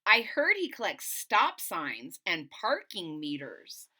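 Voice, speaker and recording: Female storyteller, middle-aged, for kids' picture-book read-aloud: animated pitch, bright projected tone, dramatic emphasis, explanatory asides. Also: slow pace. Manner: expressive, explains to young listeners, dramatic emphasis. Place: small indoor room at home.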